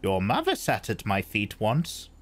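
A man's voice: on the low side, wildly swooping pitch, measured pace, full character voice. A man reading aloud, voicing a stern, commanding old woman elder with a clipped, probing manner.

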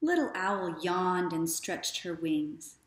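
Woman, thirties, slow expressive storybook narration, echoey living room. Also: on the low side. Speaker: teacher reading a storybook aloud. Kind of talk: children's read-aloud narration. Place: small living room, indoors.

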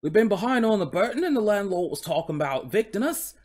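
A talking coach horse with a broad Cockney accent. The voice sits high and leaps about in pitch. He is proud and aggrieved, full of polite, boastful working-class London patter. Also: sing-song, quick pace, a little mellow. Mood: wistful, chatty. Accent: put-on Cockney.

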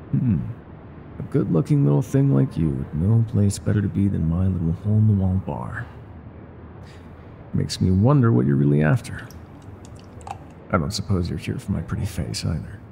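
An actor playing a weary, regretful older ex-mafia bar owner in an intimate, brooding roleplay monologue. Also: male voice, deep voice, gravelly, darkish tone, slight background noise, full character voice. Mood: wistful, gently teasing. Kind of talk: intimate scripted roleplay monologue, ASMR audio drama.

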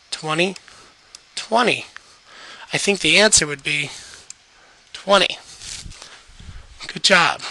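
A man's voice: sits high, bright, forceful tone, no room sound, rough recording. A man teaching a math lesson over an onscreen whiteboard, losing his voice and patiently guiding students step by step.

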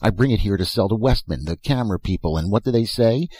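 A man's voice: lowish voice, sing-song, normal speed, a little mellow, breathy edge, no room sound, full character voice. A man voicing an eccentric, philosophizing old professor who talks in short, insistent phrases and rhetorical questions in a storybook reading. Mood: angry. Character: elfin bearded old male professor, eccentric, philosophical inventor.

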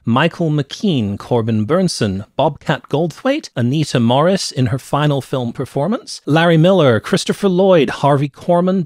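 A man's voice: on the high side, a little mellow, no echo. A middle-aged man co-hosting a conversational movie review podcast, speaking into a close microphone in a small home room. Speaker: podcast co-host. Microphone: close podcast microphone with headset.

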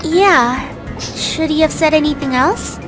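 A woman's voice: high voice, takes it slowly. A woman playing a friendly, earnest schoolgirl in an anime visual novel game, speaking politely. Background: game music.

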